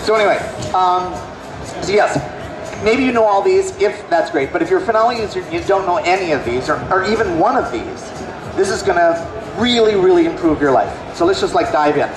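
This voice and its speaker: A middle-aged man with a rather high voice runs a trade-show clinic, chatty and quick to make fun of himself. He speaks into a handheld mic in a big, roomy hall. Music plays in the background. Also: animated, a crowd in the background. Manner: chatty, self-deprecating, joking asides. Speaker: software product specialist. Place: large convention hall. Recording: echoey hall with music behind.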